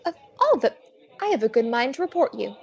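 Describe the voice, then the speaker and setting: A woman's voice: fairly high pitch, big pitch swings, fairly quick, vocal fry, darkish tone, dull audio. A woman playing a wisecracking female private detective who narrates her case in slangy old-time radio style. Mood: indignant. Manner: wry, slangy hard-boiled storytelling.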